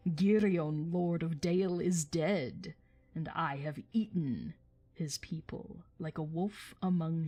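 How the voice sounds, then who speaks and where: A woman voicing a boastful, menacing old male dragon in a storybook reading, with slow, drawn-out, emphatic speech. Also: low-pitched, darkish tone, exaggerated voice. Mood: gloating.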